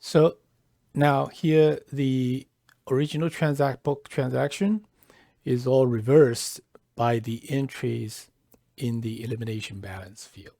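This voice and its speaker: Slow-spoken man with a creaky, mellow voice. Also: medium pitch, fairly even intonation, no echo, studio quality. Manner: halting, deliberate delivery with pauses mid-phrase. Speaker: software tutorial presenter. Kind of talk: step-by-step tutorial narration, lightly scripted.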